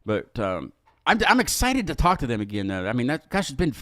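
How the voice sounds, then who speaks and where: A man's voice: medium pitch, huge pitch swings, brisk, a bit bright, no room sound. Male show host with dry, close sound. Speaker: host of a music interview show. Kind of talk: casual conversational hosting.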